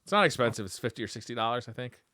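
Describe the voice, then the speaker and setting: Bright-voiced man chatting on a podcast. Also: medium pitch, lively intonation, rapid-fire, no echo, clean studio sound. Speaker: podcast co-host. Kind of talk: casual retro gaming podcast chat.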